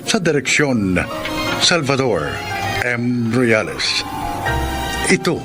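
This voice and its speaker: Slow, animated Filipino male announcer. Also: medium pitch. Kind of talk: scripted radio drama credits announcement. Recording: music behind the announcer.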